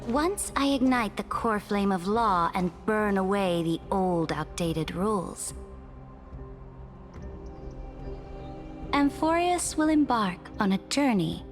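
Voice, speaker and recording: An actress playing an imperious, calculating ruler in a video game, with a commanding, haughty and deliberate manner. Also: female voice, medium pitch, lively intonation, soft background music, lightly acted. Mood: resolute. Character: imperious, calculating female ruler, coolly decisive. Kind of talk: scripted video game voice acting.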